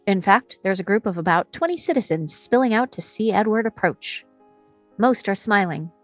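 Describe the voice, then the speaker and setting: Woman reading stage directions from a screenplay, normal pitch, no room sound, little music behind. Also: expressive, normal speed, dry recording. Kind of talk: scripted narration in a table read.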